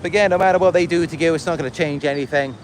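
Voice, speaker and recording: Welsh man, slightly high voice, casual livestream monologue, halting and rambling, faint outdoor traffic noise. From Wales. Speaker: livestream host and content creator. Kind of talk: casual livestream monologue, answering viewer comments.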